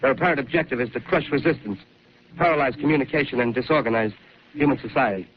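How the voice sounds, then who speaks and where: A man's voice: mid-range voice, quick pace, a crowd in the background, natural voice. A man acting a radio announcer reading urgent war bulletins in a formal manner on a dull 1930s radio broadcast.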